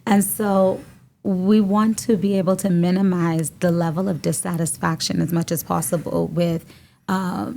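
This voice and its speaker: A Bahamian woman co-hosting a podcast, speaking reflectively with many pauses and fillers. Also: fairly low pitch, fairly even intonation, vocal fry, warm tone, a little hiss or hum. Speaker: podcast co-host. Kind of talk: casual podcast conversation. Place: studio room.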